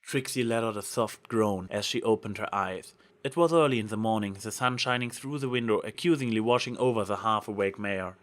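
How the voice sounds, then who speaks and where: A man's voice: lowish voice, brisk, few pauses, a bit bright. A man reading fan fiction aloud as a narrator, in a dramatic storytelling style.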